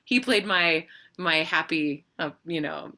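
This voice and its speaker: A woman's voice: fairly low pitch, lively intonation, a little slow, sharp tone. A woman hosting a casual interview, bubbly and effusive, laughing often and gushing over her guest. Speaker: host. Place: video call livestream.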